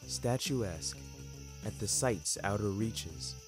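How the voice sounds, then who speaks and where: A man's voice: fairly low pitch, animated, slow pace, over music. A young man reading scripted first-person fiction, carefully phrased, in a literary and dramatic manner. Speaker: narrator and author of a fiction podcast.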